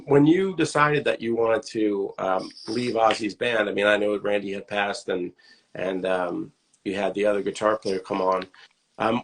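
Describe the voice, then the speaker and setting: A man interviewing a guest, his voice low with a gravelly, husky edge. He asks his questions hesitantly, with plenty of ums, and rephrases as he goes. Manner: hesitant, many ums and I means. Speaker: host, interviewer.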